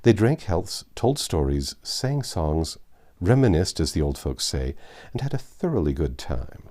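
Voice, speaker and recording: Deep, mellow male storybook narrator. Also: big pitch swings, a little slow. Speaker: audiobook narrator. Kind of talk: scripted literary narration, storybook reading.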